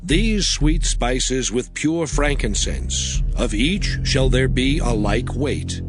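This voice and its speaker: A man playing God giving laws, solemn, stern and measured, in a declamatory dramatized scripture reading. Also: medium pitch, animated. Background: music.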